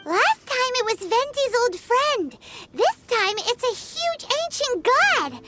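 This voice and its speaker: A woman voicing a small, childlike game companion who is curious, chirpy and easily flustered, with a squeaky, exclamatory delivery. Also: very animated, slow pace, full character voice. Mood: worried, curious. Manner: exclamatory, talks of herself in third person. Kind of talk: animated video game voice acting. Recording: music behind the voice.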